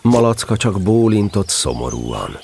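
Deep, expressive male storybook narrator. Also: a little hiss or hum. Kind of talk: scripted children's storybook narration.

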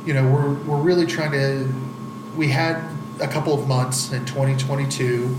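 A stuffy-nosed man co-hosts a loose, jokey podcast, laughing his way through the chat. He sounds congested. The basement around him is echoey, and steady background noise runs under his voice. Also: medium pitch, normal speed. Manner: joking, laughing often. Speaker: podcast co-host. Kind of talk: casual chat.

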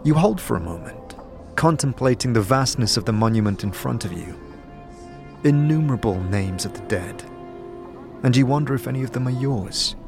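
A creaky, mid-pitched man narrates a fantasy tale in a measured, descriptive way. It is scripted storytelling addressed to the listener, with music underneath. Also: lively intonation. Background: background music.

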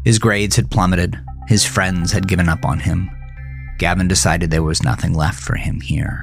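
A man reading scripted narration of a horror thriller story in a measured, dramatic storytelling manner. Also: sits low, steady tone, gravelly, soft background music.

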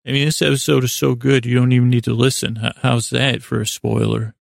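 Man, thick vocal fry, dry close sound, podcast host, rambling monologue, hesitant, wandering, self-deprecating. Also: mid-range voice, level tone, fairly quick, no echo. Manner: meandering, hesitant, with self-deprecating asides and acted-out imaginary dialogue.

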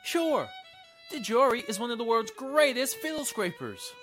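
Lively, wisecracking pal played by a woman. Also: on the high side, normal speed, soft background music. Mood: exasperated. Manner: wry, slangy. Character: breezy, wisecracking friend of a reporter. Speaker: actor. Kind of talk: 1940s radio drama acting.

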